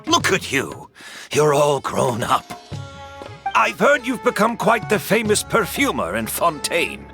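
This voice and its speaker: An unhurried old perfumer. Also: male voice, high-pitched, huge pitch swings, gravelly, soft background music, exaggerated voice. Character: older male master perfumer, warm and modest teacher. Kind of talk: video game voice acting.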